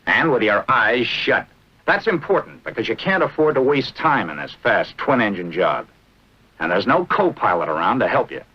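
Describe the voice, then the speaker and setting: An American man narrating a 1940s military training film in a brisk, instructive manner with clipped short phrases. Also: mid-range voice, big pitch swings, husky. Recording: dull, band-limited vintage film soundtrack.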